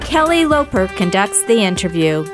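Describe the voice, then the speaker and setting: A woman's voice: medium pitch, big pitch swings, normal speed. A woman radio announcer reading a polished scripted introduction to the show. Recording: radio intro over loud music.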